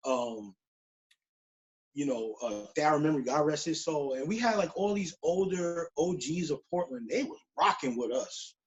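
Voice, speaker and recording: 40 yr old guy, kinda high voice, slangy casual chat, muffled lo-fi audio. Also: animated, normal speed. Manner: slangy, often tags phrases with "you know what I'm saying". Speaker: guest drummer. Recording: dull lo-fi call audio.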